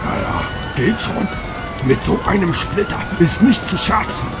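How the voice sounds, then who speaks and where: A man's voice: high voice, animated, unhurried, over a noisy crowd, heavy background noise. An actor playing a sarcastic, theatrical male emergency doctor in a radio play. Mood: mock dramatic. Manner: sardonic, melodramatic. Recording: loud music behind the voice.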